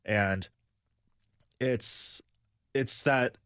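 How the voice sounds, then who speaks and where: A man's voice: mid-range voice, very slow, a bit bright, smooth, no echo, clean studio sound. A young man from the United States, a guest on a radio talk show, answering earnestly and thinking aloud with many fillers. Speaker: guest, music booker.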